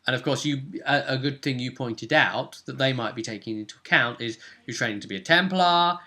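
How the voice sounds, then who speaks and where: Bright-voiced man recapping a tabletop game. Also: mid-range voice, a little fast. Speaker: game master. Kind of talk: casual recap of a tabletop role-playing game.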